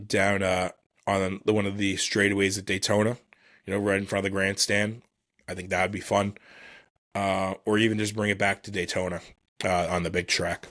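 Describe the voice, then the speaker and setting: Low-pitched man, halting casual podcast host. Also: a bit bright, no room sound, clean studio sound. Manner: halting, thinking aloud, self-deprecating. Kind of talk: casual solo motorsport commentary.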